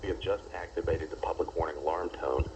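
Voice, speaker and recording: A man with an extremely deep voice delivers a formal scripted announcement at a fast clip. He is heard through a weather radio, dull in tone and buried in heavy noise. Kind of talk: scripted public warning test announcement. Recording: noisy, muffled weather radio receiver playback.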